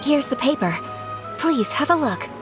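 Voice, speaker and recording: A graceful young noblewoman in a video game. Her voice is somewhat high and nicely inflected, poised and formal, with music underneath. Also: very animated, measured pace. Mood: poised, polite. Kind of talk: scripted game dialogue. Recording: music behind the voice.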